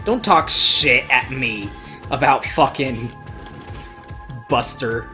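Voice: medium pitch, slow pace. A gamer giving casual, emphatic let's play commentary, swearing freely and joking along the way. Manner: profane, jokey, emphatic. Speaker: gaming video host playing and commentating. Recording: game music under the voice. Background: video game music.

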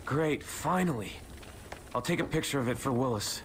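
An actor playing an earnest, urgent young hero in a video game. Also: male voice, medium pitch, lively intonation, moderate pace, a little hiss or hum, lightly acted. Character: earnest young male hero rescuing his friends.

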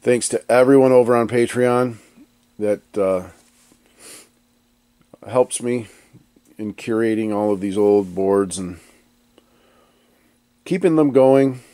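A man's voice: on the low side, warm tone, no echo. A man hosting an arcade board repair vlog, talking casually in his electronics workshop while stuffed up with allergies, rambling and restarting his sentences. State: stuffed up, congested.

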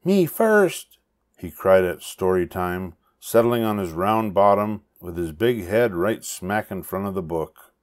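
An older man reading a children's story aloud with expressive narration. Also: deep voice, a little slow. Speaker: storybook reader.

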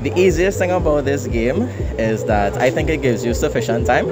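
A young man calmly walks through how arcade machines work, pausing with fillers and laughing easily. His voice is mid-pitched but swings a lot in tone. Loud music fills the mall behind him. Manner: measured, patient, with fillers and easy laughs. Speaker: guest demonstrating games. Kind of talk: casual explanation. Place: indoor shopping mall arcade.

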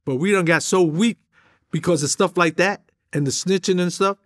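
Streetwise New York man, slightly high voice. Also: moderate pace. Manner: streetwise, emphatic, heavy slang, gestures while talking. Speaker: interview guest. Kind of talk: animated street storytelling. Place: indoor studio with a drum kit.

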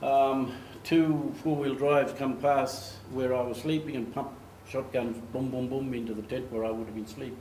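An older man from Australia, giving an animated storytelling talk at a lectern in a meeting room. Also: medium pitch, normal speed, few pauses, slight background noise. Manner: animated, gestures, acts out quoted voices. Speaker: speaker giving a slide talk. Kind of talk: storytelling presentation. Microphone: far camera. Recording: echoey room, faint ambience.

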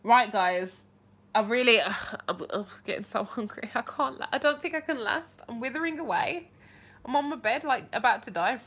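Young woman, bubbly livestream host, chatty and animated, with a bright, slightly high voice, on a phone mic in a small bedroom. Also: moderate pace, breathy edge. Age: young adult. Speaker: livestream host answering viewer questions. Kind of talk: casual live chat. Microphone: phone held at arm's length.

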